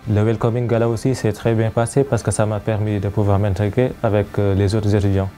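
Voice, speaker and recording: A man's voice: on the low side, little pitch movement, fairly quick, mellow. A young man with a Senegalese French accent giving an interview testimonial as a student. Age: young adult. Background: guitar music.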